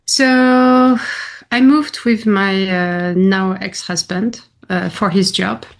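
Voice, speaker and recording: A woman from France with a French accent, a guest chatting in an interview, warm and chatty with many fillers. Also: mid-range voice, steady tone, slow. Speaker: guest, painter and advocate. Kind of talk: casual interview conversation.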